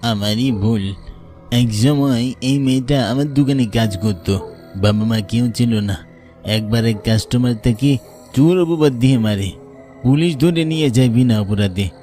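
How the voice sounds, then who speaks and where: A man plays the ghost of a village tea seller, grave and full of warning. He has an eastern Bengali accent and a warm, dark tone at medium pitch. It is horror cartoon acting over faint music. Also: a little slow, lightly acted. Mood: regretful.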